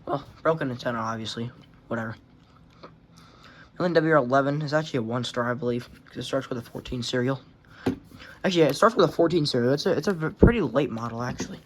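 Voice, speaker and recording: A man's voice: medium pitch, quick pace, noisy background. A man giving a casual show-and-tell vlog in a rambling, offhand, sweary way, recorded on a handheld phone. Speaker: hobbyist presenting a radio collection. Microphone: phone held in hand.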